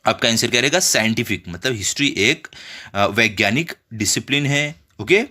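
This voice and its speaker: A man's voice: mid-range voice, expressive, normal speed, pushed and bright. A man teaching children, walking through exercise questions step by step and often checking in with his pupils.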